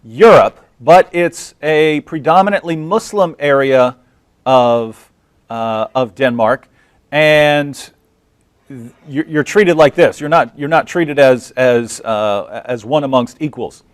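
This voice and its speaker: A man hosting an interview show, speaking in halting, deliberate phrases. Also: mid-range voice, no room sound, studio quality. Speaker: host interviewing guests. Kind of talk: hosted interview talk show.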